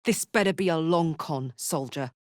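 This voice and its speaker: A woman playing a brash, warm-hearted, tough tiefling warrior in a video game, speaking in a blunt, slangy, heartfelt way. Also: deep voice, moderate pace, no echo, clean studio sound, natural voice. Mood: blunt, defiant. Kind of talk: video game character voice acting.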